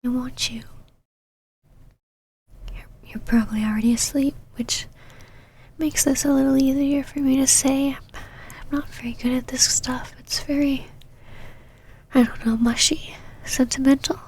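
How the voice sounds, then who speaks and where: Woman playing a female vampire knight, ASMR roleplay, high pitch, unhurried, soft breathy whisper, possessive, haughty, easily flustered, secretly tender. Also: fairly even intonation. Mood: shy, vulnerable. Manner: haughty but flustered, halting, sighing. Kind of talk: intimate ASMR roleplay, close whispered acting.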